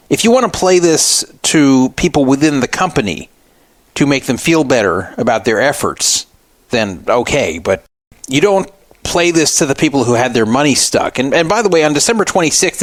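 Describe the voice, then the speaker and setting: A man's voice: medium pitch, no room sound. A man hosting a radio show, giving casual critical commentary in a sarcastic, mocking manner.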